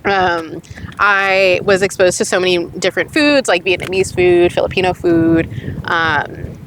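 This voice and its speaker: A young woman from the US, a guest in a casual conversation, who talks haltingly, uses many fillers and laughs often. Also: lowish voice, a bit bright, slight background noise. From born in Texas, grew up in Denver.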